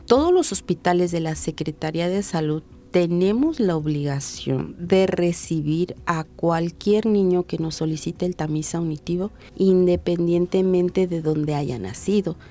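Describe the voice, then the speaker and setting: Mexican lady doctor, lowish mellow voice, calm teacherly explaining, slows down on key bits. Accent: Mexican Spanish. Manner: measured, didactic, slows down to stress points. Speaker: physician, hearing and speech specialist. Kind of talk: interview answers, explanatory.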